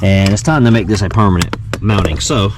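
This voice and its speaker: A man's voice: lowish voice, fairly quick. A man giving a casual, folksy how-to while working inside a vehicle, thinking aloud as he goes. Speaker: vlogger demonstrating a car radio install. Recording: clear background noise in a vehicle.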